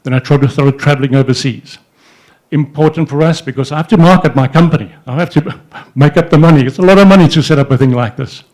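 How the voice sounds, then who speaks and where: A man's voice: fairly high pitch, quick pace, darkish tone. An older man telling stories to an audience at an indoor event, speaking into a handheld microphone, rambling with many restarts and fillers. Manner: gestures with his free hand while he talks. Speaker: entrepreneur speaking at a society event. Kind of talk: informal speech to an audience. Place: indoor event room in front of a banner.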